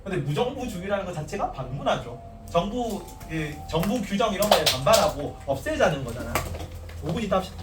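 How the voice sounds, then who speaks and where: Animated male teacher with a Gyeongsang lilt. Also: high voice, a little fast. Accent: standardized Gyeongsang Korean. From Gyeongsang region. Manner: animated, talks to students casually, restarts and asides. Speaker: social studies teacher. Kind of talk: classroom lecture. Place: classroom. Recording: echoey classroom, distant mic, faint hiss.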